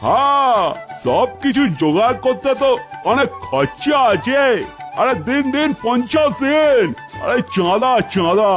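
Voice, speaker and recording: A man playing a pushy, flattering club organiser wheedling for donations in a comedy cartoon. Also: very high-pitched, unhurried, music in the background, exaggerated voice. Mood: pushy, indignant. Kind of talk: exaggerated comedy cartoon voice acting.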